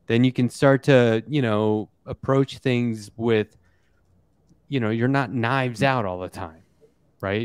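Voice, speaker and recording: A man's voice: medium pitch, no echo, studio quality. A man hosting a casual political discussion, talking in an earnest, reflective and conversational way. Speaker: show host.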